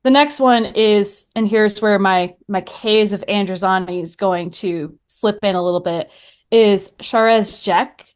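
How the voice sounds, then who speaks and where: A woman podcaster talking casually as a guest, telling stories in an animated way and acting out little quotes. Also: mid-range voice, unhurried, clear, resonant tone. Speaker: podcaster answering questions as a guest. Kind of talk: casual chat.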